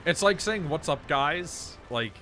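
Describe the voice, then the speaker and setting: A wry man jokes with friends on an online gaming voice call. His intonation swings widely, and he makes animated comparisons. Game music is clearly audible behind him. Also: a little high, slow. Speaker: gamer on a group voice call. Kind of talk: casual chat while gaming.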